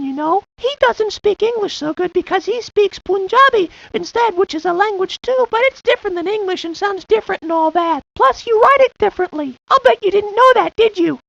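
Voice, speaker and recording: A woman's voice: very high voice, gravelly, fairly bright tone, no echo, exaggerated voice. A woman voicing an enthusiastic young boy who loves rock and roll in a cartoon video game. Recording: muffled lo-fi game audio.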